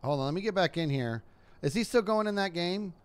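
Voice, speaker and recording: A man's voice: sits high, animated, a little fast, clear, resonant tone. A man streaming a game, chatting casually with rambling restarts and frustrated muttering. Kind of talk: casual gaming stream chat.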